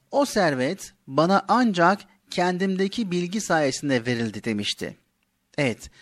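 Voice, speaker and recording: A man hosting a children's religious programme, telling stories and teaching morals in an expressive, direct way. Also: fairly high pitch. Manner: expressive, didactic, addressing listeners directly. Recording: music behind the voice. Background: background music.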